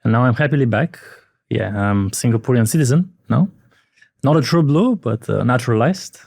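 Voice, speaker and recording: A man in his forties, from Bulgaria, talks through his past in a relaxed and wry way on a podcast. His voice sits at a middle pitch. He is recorded close and dry, with no room sound. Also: moderate pace, creaky, no room sound. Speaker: podcast guest, advisor. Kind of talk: casual podcast chat.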